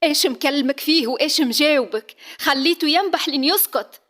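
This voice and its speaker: A woman with a Tunisian accent voicing a stern older father who scolds his daughter slowly and firmly. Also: high-pitched, a bit bright, pitched above the performer's own voice. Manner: slow, emphatic scolding.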